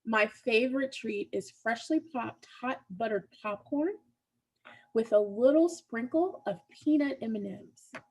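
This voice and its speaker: A woman's voice: a little high, measured pace, a little mellow, breathy edge, poor audio. A woman who grew up in the American South, chatting in an enthusiastic way in a casual panel chat.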